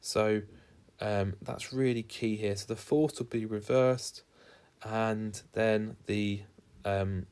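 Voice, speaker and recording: A man's voice: lowish voice, gravelly, darkish tone. A man giving an explanatory lesson as a teacher, talking informally and stressing key words. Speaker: physics teacher.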